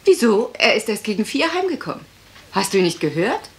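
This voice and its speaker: A woman's voice: medium pitch, big pitch swings, fairly quick. A sardonic young lady of the manor in a radio mystery. She speaks dryly and pointedly with varied intonation, over faint noise. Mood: sardonic, cool. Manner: dry, pointed. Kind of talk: German radio mystery play acting.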